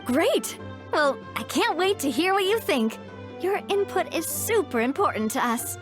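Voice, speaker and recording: A woman voicing a confident, upbeat young festival organizer in a video game, breezy, expressive and reassuring. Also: very high voice, measured pace, music in the background, full character voice. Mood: cheerful, eager.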